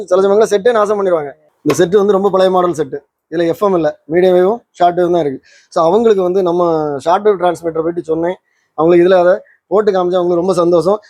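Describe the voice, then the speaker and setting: A man's voice: high-pitched, darkish tone, no room sound. A man hosting a repair channel, explaining things casually and often checking with tag questions. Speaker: host of a vintage radio repair channel.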